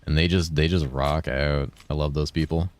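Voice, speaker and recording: A young man streaming a video game, chatting casually with his viewers in a relaxed, rambling way, with a deep voice he holds slightly higher than its natural pitch. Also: moderate pace, no echo. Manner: laid-back, rambling, swears a lot, reads chat aloud. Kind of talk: casual live-stream chat while gaming.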